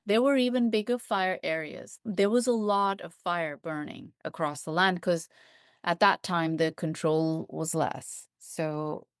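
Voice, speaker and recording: A woman's voice: on the low side, no echo, clean studio sound. A woman giving thoughtful, explanatory answers as an expert guest in an interview. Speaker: interview guest, biologist and writer.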